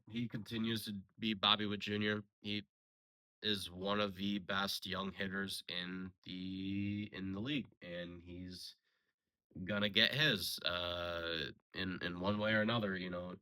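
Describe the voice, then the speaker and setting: A young man giving a casual, rambling solo sports recap, a little hoarse from a sore throat and full of fillers and restarts. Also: deep voice, pushed and bright, low-quality recording. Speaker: sports podcast host.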